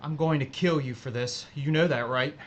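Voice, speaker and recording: A man's voice: medium pitch, muffled recording, close to an everyday voice. Man acting a guilt-ridden, frightened cheating coworker, faint noise. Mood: outraged. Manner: halting, emotional delivery. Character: guilty, frightened adulterous coworker, pleading then outraged. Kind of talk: audio drama acting.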